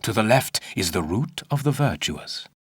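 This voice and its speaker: An actor playing a stern, condescending older archaeologist in a video game, giving formal, pompous instruction. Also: male voice, fairly low pitch, sing-song, moderate pace. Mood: grave, imposing. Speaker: mentor and guide. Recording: long cavernous reverb.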